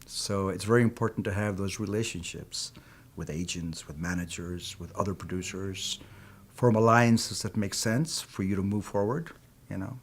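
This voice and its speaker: A middle-aged man from Peru, a native Spanish speaker, speaks about his work as a film producer in a low, unhurried voice. He talks in measured, reflective stretches and often adds "you know" as he works through a thought. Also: breathy edge. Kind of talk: interview answers.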